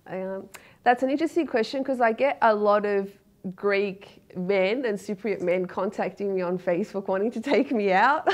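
A woman's voice: medium pitch, normal speed. A woman in her thirties with an Australian accent, a poet speaking openly and earnestly in a studio interview, with many ums and restarts. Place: dark TV studio, seated in a chair.

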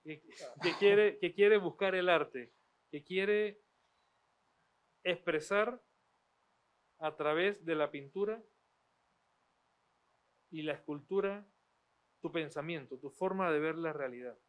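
A middle-aged man, formally reading guest introductions and then moderating a university panel with measured pauses, on a handheld mic in a studio. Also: a little high, clear, resonant tone. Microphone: handheld microphone.

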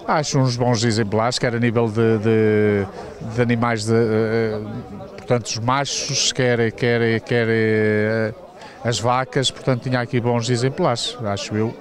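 A man in his fifties with a northern Portuguese accent, answering casually and haltingly in an outdoor interview. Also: mid-range voice, flat delivery. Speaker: fair visitor. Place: outdoors beside a building. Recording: busy crowd behind outdoors.

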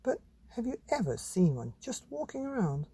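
A man voicing a gently prying, fretful mother with lilting, coaxing questions in a children's storybook. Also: extremely high pitch, wildly swooping pitch, moderate pace, husky, dry recording, voice pushed up, heavily acted. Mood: questioning, concerned.

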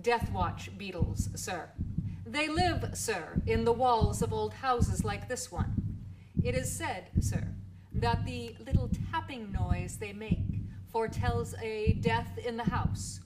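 A knowing police officer in a radio play, deliberate and insinuating. The voice is a little high, with clear noise on the recording. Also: female voice. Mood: knowing, pointed. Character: matter-of-fact, knowing police officer recounting cases. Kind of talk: radio drama acting.

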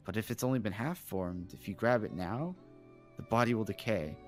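A man's voice: lowish voice, moderate pace, faint music behind, natural voice. A man playing a knowledgeable young student mage, explaining and musing hesitantly in an improvised game roleplay.

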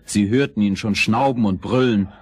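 Low-voiced male fairy-tale narrator. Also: moderate pace, husky, no echo. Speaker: storyteller.

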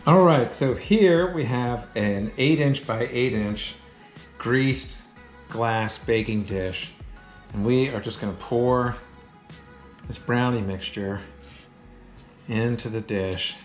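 A man's voice: mid-range voice, slow pace, pauses often. A middle-aged man hosting a home cooking show, talking upbeat and chatty straight to the camera as he demonstrates a recipe in a large home kitchen. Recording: echoey kitchen, soft background music.

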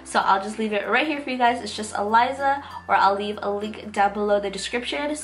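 A woman's voice: medium pitch, brisk. A woman in her twenties with a nasal, congested voice from a cold, giving an enthusiastic, casual makeup review as a beauty vlogger. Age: 20s. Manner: enthusiastic, gushing. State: getting over a cold. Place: small room at home, indoors. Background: background music.

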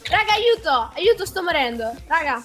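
Woman, Italian accent, extremely high voice, excitable, repetitive, gaming voice chat, faint music. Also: normal speed. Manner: excitable, repeats phrases insistently. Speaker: fellow player. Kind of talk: casual voice chat during a game.

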